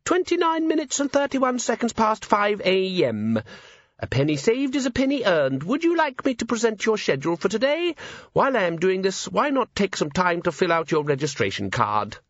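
Squeaky, chirpy little gadget voice. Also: male voice, huge pitch swings, normal speed, no echo, lo-fi sound. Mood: cheerful, officious. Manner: perky, sing-song recital. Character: cheerful, chirpy little talking organiser gadget, officious and oblivious. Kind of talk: audiobook character voice.

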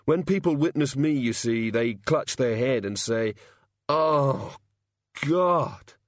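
A queasy, pitiful hangover god, voiced by a man groans his way through slow, halting sentences. The voice sits higher than the reader's own and drags on the words, and it is recorded dry and close. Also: lively intonation, dry recording. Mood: groaning.

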